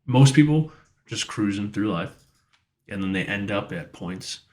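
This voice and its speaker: A man's voice: lowish voice, expressive, normal speed, a little mellow. An American man co-hosting a podcast, giving advice in a casual, emphatic way.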